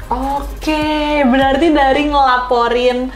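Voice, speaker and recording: A woman's voice: high-pitched, steady tone, slow pace. A woman with a Jakarta Indonesian accent hosting a casual interview in a chatty, informal way, often seeking agreement. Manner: chatty, informal, frequently echoes answers back and seeks agreement. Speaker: podcast interviewer. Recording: roomy echo, music underneath. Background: music.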